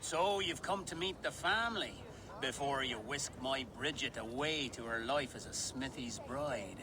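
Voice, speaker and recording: A man's voice: mid-range voice, unhurried, noisy background, lightly acted. An actor playing a mischievous, jovial old village elderman and harvest king in a video game, grandiose and teasing. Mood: merry, teasing. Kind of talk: video game cutscene acting.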